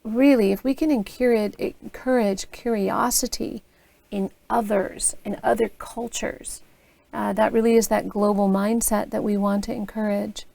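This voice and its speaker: A woman's voice: mid-range voice, steady tone, unhurried, vocal fry, warm tone, no room sound. An American woman interviewing student teachers over a video call, asking open questions in an encouraging way. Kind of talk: reflective interview.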